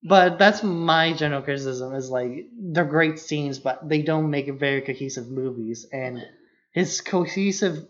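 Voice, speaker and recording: Casual critical man, slightly lo-fi sound. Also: a little high. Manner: analytical, critical, says "like" often. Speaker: podcast co-host. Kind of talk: casual film discussion. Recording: slightly dull lo-fi podcast audio.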